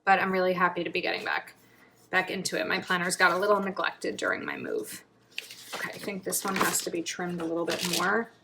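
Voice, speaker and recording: Woman planner vlogger, bright tone, chatty, a touch raspy, soft clicks in the background. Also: fairly low pitch, fairly quick, a little hiss or hum, poor audio. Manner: chatty, thinking aloud while crafting, drops to murmurs. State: slightly raspy throat. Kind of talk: casual planning video chat.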